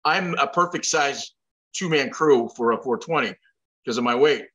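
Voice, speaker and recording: A man's voice: medium pitch, normal speed, fairly bright tone, highs cut off. An older man hosting a casual interview over a video call, asking rambling questions and making self-deprecating jokes. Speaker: host.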